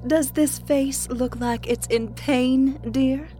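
A woman voicing an eerie, zealous pregnant patient who mocks her nurse in a slow, sing-song voice. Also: high-pitched, steady tone, mellow, slight background noise, pitched above the performer's own voice. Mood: mocking. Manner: slow, sing-song, mocking religious fervor.

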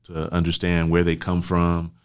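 An older man, a grandfather and guest on a podcast, chatting in a laid-back, affirming way, often trailing off softly. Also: sits low, completely flat delivery, normal speed, clear, resonant tone. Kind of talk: casual chat.

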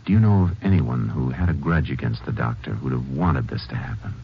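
An actor playing a determined, plain-spoken Texas Ranger in 1950s radio drama style, terse, grave and courteous. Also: male voice, very deep voice, a little fast, gravelly. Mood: grave, gentle. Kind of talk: 1950s radio western acting and narration. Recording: muffled, noisy 1950s radio recording.

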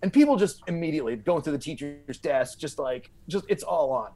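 A man telling comic stories as a guest comedian, in a halting way, repeating words and leaning on filler. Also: a little high, animated, dark tone. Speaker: podcast guest, stand-up comedian. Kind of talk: animated comic storytelling.

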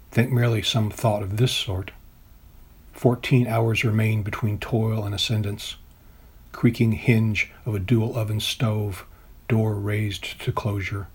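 A man reads his own poem in a measured, deliberate, literary way, as a poet at a reading. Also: fairly low pitch, a little mellow, husky. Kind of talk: poetry reading of own work.

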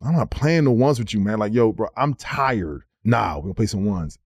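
A man in his thirties, a former pro basketball player, telling stories on a podcast in a casual, animated way, repeating words as he goes. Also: fairly low pitch, moderate pace, slightly rough, dry recording. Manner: animated storyteller, slips into quoted voices, repeats words. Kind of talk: casual storytelling.

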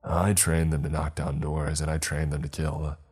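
Very deep-voiced guy doing a smug villain bragging, low rumbling voice, faint music. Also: little pitch movement, normal speed, a little mellow, slightly rough. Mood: boastful. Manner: smug and boastful. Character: smug male villain who trained killer wolves.

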